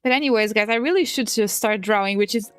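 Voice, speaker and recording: A woman's voice: medium pitch, quick pace, smooth, no room sound. A young woman with a Portuguese accent, a native Portuguese speaker, rambling in casual livestream chat, laughing often and swearing casually. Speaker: streamer. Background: faint music.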